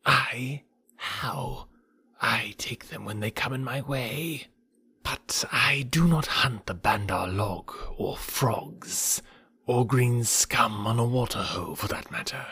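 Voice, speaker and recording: A man voicing a huge old python for a storybook reading, haughty and menacing, with a breathy, whispery rasp and drawn-out delivery. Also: medium pitch, sharp tone, no echo, full character voice. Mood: cold menace. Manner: slow, drawn-out, deliberate. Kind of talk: audiobook character voice.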